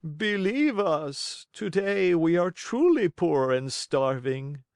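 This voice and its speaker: A man voicing an old, sly, starving fox who begs in a tearful, drawn-out whine. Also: a little high, big pitch swings, a little mellow, dry recording, clean studio sound, voice pushed up, full character voice. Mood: pleading.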